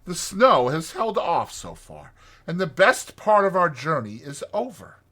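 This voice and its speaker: A kindly gentleman rat from a storybook, acted out by a man. He is chummy and solicitous in an old-fashioned way, with a raised pitch that bounces up and down. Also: big pitch swings, measured pace, full character voice. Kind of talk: dramatized storybook reading.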